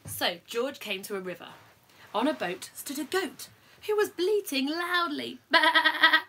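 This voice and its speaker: A young woman reading a picture book aloud to her toddler in a child's bedroom, animated and coaxing, with quiet asides. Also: high-pitched, measured pace. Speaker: parent reading a bedtime story.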